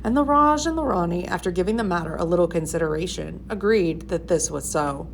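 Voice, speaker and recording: A woman gives an expressive storybook read-aloud. Her voice is on the lower side, and the recording carries faint room noise. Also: brisk, vocal fry, low-quality recording. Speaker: storybook reader.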